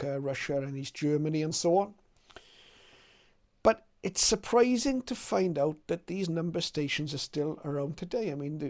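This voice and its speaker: Male presenter, slightly high voice, conversational step-by-step explainer for a hobby channel. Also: a little mellow. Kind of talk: informal explainer to viewers.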